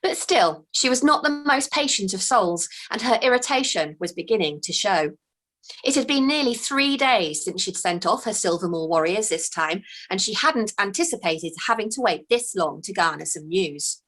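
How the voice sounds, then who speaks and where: A woman author reading her children's book aloud with expressive, unhurried storytelling. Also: mid-range voice, poor audio.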